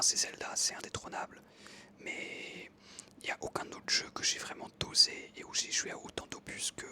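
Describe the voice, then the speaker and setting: An ASMR creator whispering casually into a close microphone over gameplay, rambling with frequent hesitations. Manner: soft whisper, casual and rambling with many fillers.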